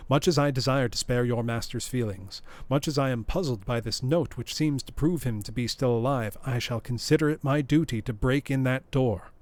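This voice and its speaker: A man voicing a composed, formal older male lawyer who reasons calmly, close to his own voice. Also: medium pitch, normal speed, hardly any pauses, no room sound, lightly acted.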